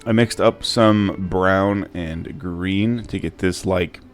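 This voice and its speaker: A man narrating a casual DIY how-to in an informal, halting, hesitant way. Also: sits low. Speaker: DIY prop maker and channel host. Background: music.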